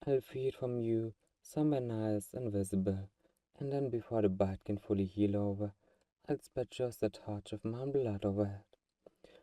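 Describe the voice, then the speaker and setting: Male actor as a possessive vampire, very dark muted tone, dry sound, soft-spoken, coaxing, protective, intimate ASMR roleplay. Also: fairly low pitch, moderate pace, no echo, distant mic, natural voice. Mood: soothing, coaxing.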